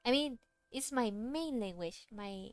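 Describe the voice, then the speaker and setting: A woman's voice: a little high, animated, measured pace. A woman whose first language is Cantonese, chatting casually on a livestream while she draws, rambling and answering chat questions. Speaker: streamer drawing a webcomic. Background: faint music.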